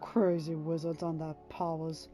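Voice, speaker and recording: A woman voicing a cynical, selfish male smuggler pilot in a story reading, gruff, irritable, slangy and dismissive. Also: deep voice, little pitch movement, unhurried, mellow, faint music behind, voice pushed down. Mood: grumbling. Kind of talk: dramatised audiobook reading.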